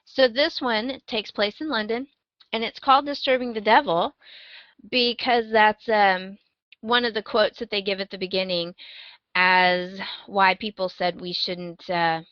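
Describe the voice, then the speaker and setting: A woman's voice: fairly low pitch, no echo. Lady podcast host, casual and a bit wry, close dry sound thats slightly dull. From United States. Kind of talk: casual podcast chat, giving a recommendation.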